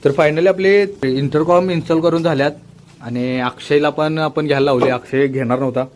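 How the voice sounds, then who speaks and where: A man's voice: a little high, fairly even intonation, normal speed, music in the background. A man chatting casually as a vlog host, mixing in English technical words. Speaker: motovlog host. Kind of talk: casual vlog chat and product explanation.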